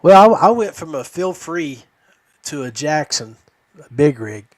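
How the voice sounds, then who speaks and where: An American man chatting casually as a podcast co-host, haltingly, repeating words as he goes. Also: a little high, big pitch swings, no echo. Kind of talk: casual chat.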